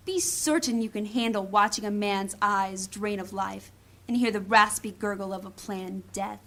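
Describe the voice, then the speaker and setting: A woman's voice: mid-range voice, fairly bright tone. An actress playing a hard, commanding woman thief in a fantasy audio drama, sardonic and menacing.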